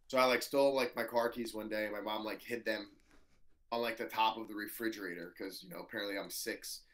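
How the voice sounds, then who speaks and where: A man in his thirties with a hoarse voice, a jiu-jitsu professor telling his story as a guest in a casual interview, loose and full of fillers. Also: on the low side, fairly bright tone. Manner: loose, filler-heavy storytelling, swears freely.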